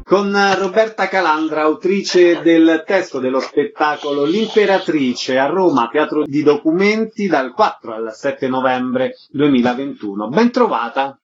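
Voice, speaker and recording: A man with a slightly high voice hosts a radio interview show. He announces names and dates and puts short questions to guests. The audio is a little dull. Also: lively intonation, a little slow, hardly any pauses, soft background music.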